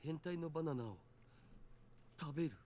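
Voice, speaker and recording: An actor playing an excitable, lecherous male nerd in a visual novel, with a leering, excited manner. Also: a little high, brisk, a little hiss or hum, close to an everyday voice. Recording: game audio with music.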